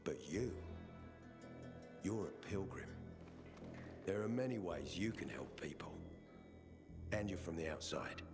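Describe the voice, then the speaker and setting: An actor playing a stern male peacekeeper officer who speaks in a firm, measured, commanding way. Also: low-pitched, lively intonation. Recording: game cutscene with music bed.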